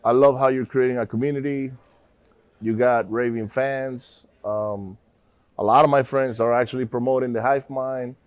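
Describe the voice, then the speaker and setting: A man's voice: medium pitch, fairly even intonation, moderate pace, clean tone, dry recording, dull audio. Casting: male podcast host, easygoing and friendly, dry close sound. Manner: friendly, hype-style, uses bro and man. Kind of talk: casual interview chat.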